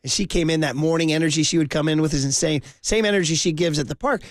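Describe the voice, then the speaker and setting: A man hosting a call-in sports radio show, energetic and joking with callers from a studio microphone. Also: fairly high pitch, no room sound. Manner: energetic, quick, jokey.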